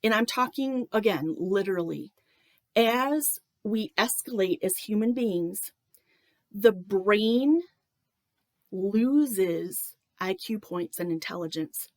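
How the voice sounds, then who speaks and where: A woman talking to camera in a joking, self-deprecating way, with many pauses and a slightly nasal voice. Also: medium pitch, animated, a little mellow, dry recording. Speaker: video creator.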